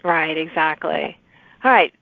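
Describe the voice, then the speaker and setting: A woman's voice: low voice, a little slow, creaky, slight background noise. Woman podcast host, phone-like sound. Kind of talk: interview with authors.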